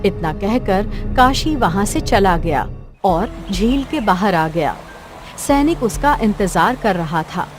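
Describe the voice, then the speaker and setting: Mid-pitched woman narrating a fairy tale. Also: animated, slow pace. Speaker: story narrator. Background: music.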